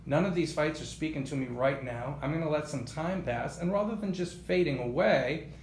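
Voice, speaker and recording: A man hosting a boxing talk show, speaking conversationally in wordy, halting sentences and posing rhetorical questions. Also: a little high. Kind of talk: conversational sports interview. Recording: roomy echo, faint background noise.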